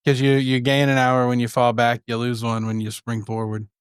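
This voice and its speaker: Smooth-voiced man with dry, brief quips. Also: medium pitch, monotone, moderate pace, dry recording. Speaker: podcast co-host. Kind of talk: casual banter. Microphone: close mic on a group remote call.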